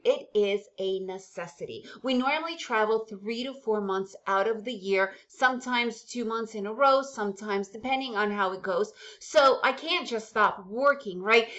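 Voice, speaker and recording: A woman's voice: fairly high pitch, fairly bright tone, muffled recording. A woman who grew up in the United States after a childhood in the Soviet Union, whose first language is Russian, hosting a casual, upbeat vlog and chatting straight to her viewers. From Soviet Union, raised in the United States. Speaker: day-trading channel host. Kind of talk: casual direct-to-camera vlog.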